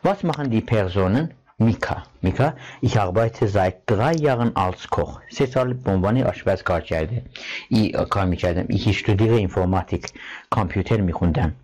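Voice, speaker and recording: Low-voiced man teaching German vocabulary. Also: expressive, darkish tone. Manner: reads German words and quickly translates each; reads textbook passages aloud slowly. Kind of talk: language lesson, glossing words.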